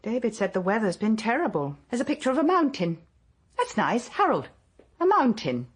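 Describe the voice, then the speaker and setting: A woman playing a brisk, fussy wife in a radio play, with tart, deflecting remarks. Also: on the high side, sing-song, slightly rough. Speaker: radio drama actor.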